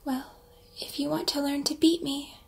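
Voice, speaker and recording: A woman playing a calm, exacting combat robot trainer in soft, often whispered ASMR roleplay, with formal, precise instructions. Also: sits high, moderate pace, gravelly. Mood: calm, instructive.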